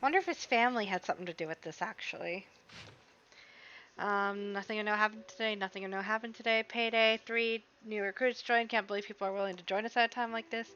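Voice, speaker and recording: A woman streaming a game, casually commenting and reading text aloud in a relaxed, murmuring way. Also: medium pitch, fast, muffled recording.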